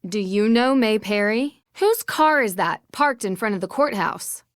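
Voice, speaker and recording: A woman playing a polite, probing young amateur detective in a video game, speaking earnestly and clearly, in a close dry recording. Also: mid-range voice, expressive, measured pace, no room sound, close to an everyday voice. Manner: earnest, articulate questioning. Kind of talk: scripted video game dialogue.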